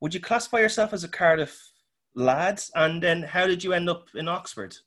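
A man's voice: high voice, lively intonation, normal speed, a bit bright. A man co-hosting a casual podcast interview, asking questions in a hesitant way with many fillers and restarts. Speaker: podcast co-host.

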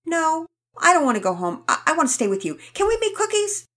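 A woman voicing an excitable, demanding little boy in a storybook reading. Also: extremely high pitch, brisk, pitched above the performer's own voice, full character voice.

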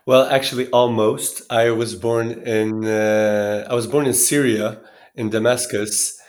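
A man in his thirties from Sweden speaking reflectively as an interview guest, often pausing and restarting as he searches for words. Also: mid-range voice, fairly even intonation, a little mellow. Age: 30s.

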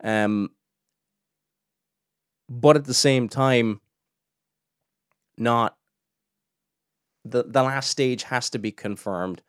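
Brief: young male host, mid pitch, dry close sound, chatty commentary. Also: drawn-out words, pauses often, smooth, no room sound. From Cork, Ireland. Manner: chatty, restarts often, laughs in asides. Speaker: podcast host. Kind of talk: casual commentary.

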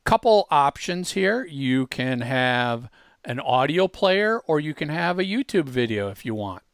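A man hosting a casual software walkthrough, explaining informally and speaking straight to the listener. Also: on the high side, sing-song, a little slow, smooth, dry recording, studio quality. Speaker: host demonstrating software.

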